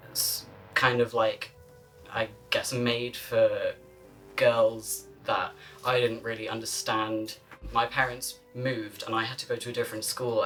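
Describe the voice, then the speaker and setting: Hesitant young man, bright tone. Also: mid-range voice, low-quality recording. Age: 20s. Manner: hesitant, soft-spoken. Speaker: interviewee. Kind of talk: documentary interview.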